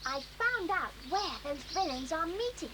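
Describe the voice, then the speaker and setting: A bossy little schoolgirl, boastful and sly. The voice is high and very animated, commanding in a children's radio-play way, on a clearly noisy old recording. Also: moderate pace. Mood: hushed, solemn. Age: child figure. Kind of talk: children's audio drama acting.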